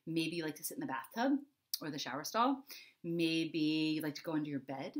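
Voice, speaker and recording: Lower-pitched middle-aged woman, expressive children's storyteller. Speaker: children's author reading her book and giving a writing prompt. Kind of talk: read-aloud and explaining to young children. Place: small indoor home room.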